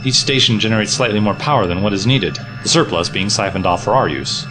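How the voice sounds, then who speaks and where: A man plays a wry rebel researcher in a sci-fi audio drama. His voice is lowish but varied in tune, with a dry delivery. Music is audible behind him. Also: animated, fast, lightly acted. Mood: explaining. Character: wry resistance scientist man.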